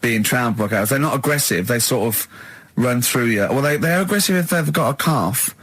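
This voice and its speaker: A man's voice: mid-range voice, lively intonation, a little fast, fairly bright tone, husky, dry recording. A man hosting radio in casual comic banter, teasing and argumentative. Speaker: radio show host.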